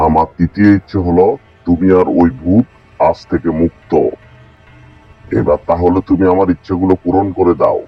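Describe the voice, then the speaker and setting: A man's voice: sits low, fairly even intonation, normal speed, long pauses, no echo. A man playing an honest, kind village fisherman in a cartoon folk tale, earnest and questioning. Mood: calling, earnest. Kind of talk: animated folk tale acting. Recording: dull lo-fi sound, music behind.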